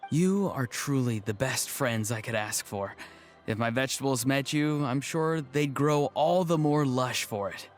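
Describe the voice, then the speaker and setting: A man's voice: medium pitch, unhurried, faint music behind, lightly acted. Man playing an earnest, melodramatic young grandson. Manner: earnest, wistful, solemnly overdramatic. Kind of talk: video game cutscene acting.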